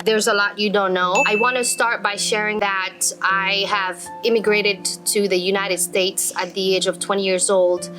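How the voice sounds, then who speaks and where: A woman in her thirties who grew up in Belgium, telling her own story unscripted and speaking directly to viewers with advice. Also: medium pitch. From Belgium (born in Morocco). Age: 30s. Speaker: travel vlogger and business coach. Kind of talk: unscripted personal story to camera.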